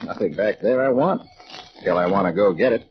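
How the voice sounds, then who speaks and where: A man's voice: lowish voice, expressive, brisk, lightly acted. An actor playing a breezy, wisecracking American expatriate man with slangy, jaunty talk in an old-time radio drama. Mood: breezy, amused. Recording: muffled, noisy old radio recording.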